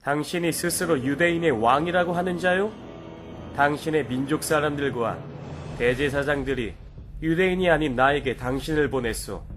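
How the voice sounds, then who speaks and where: A stern and troubled Roman governor acted by a man in a children's Bible drama. His voice sits high and carries weary authority in formal, declamatory speech. Music runs faintly underneath. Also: moderate pace, a bit bright, close to an everyday voice. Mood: stern questioning.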